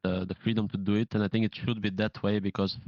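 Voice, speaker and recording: Male team captain, deep and monotone, measured answers, dry lo-fi audio. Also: dry recording. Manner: measured, searching for words. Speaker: professional gamer, in-game leader. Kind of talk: casual interview answers. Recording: dull lo-fi sound.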